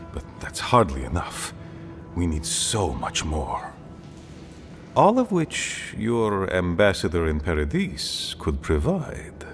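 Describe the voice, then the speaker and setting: Old dwarf conspirator for a video game, weary n earnest, slow talker but voice moves up and down a lot. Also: male voice, rumbling low, heavily acted. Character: aging male dwarf warden, weary pragmatic conspirator. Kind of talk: dramatic game dialogue.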